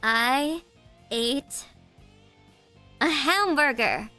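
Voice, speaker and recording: A woman's voice: sits high, expressive, drawn-out words, pushed and bright, faint music behind. A woman with a congested, stuffy voice, chatting casually with her stream audience, giggling and stumbling over her words. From United States. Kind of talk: casual live-stream chat.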